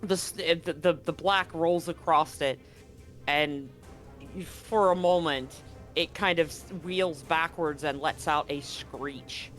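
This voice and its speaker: This is a game master running a live tabletop horror game from a home setup. The delivery is easygoing and joking, shifting into slower, measured narration for the scene, while faint music plays in the background. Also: medium pitch. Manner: relaxed, joking, slows for vivid scene narration.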